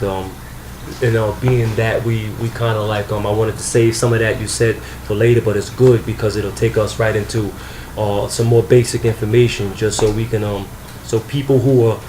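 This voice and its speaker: A man interviewing a guest in the guest's home, speaking earnestly with many pauses and fillers. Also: fairly low pitch, darkish tone, echoey room, slight background noise, rough recording. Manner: measured, filler-heavy, earnest. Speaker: interviewer, magazine publisher. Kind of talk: interview hosting.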